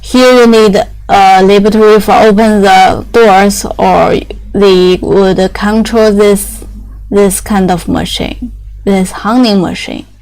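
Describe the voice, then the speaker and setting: A young woman whose first language is Chinese, explaining factory machinery in halting English with many fillers and restarts. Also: mid-range voice, fairly even intonation, a little mellow, low-quality recording.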